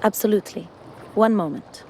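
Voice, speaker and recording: A dutiful female subordinate from a video game, played by an actress. Her voice is mellow and mid-pitched, formal and matter-of-fact as she reports. There is faint background noise. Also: fairly quick, lightly acted. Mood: dutiful, businesslike. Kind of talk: scripted video game character acting.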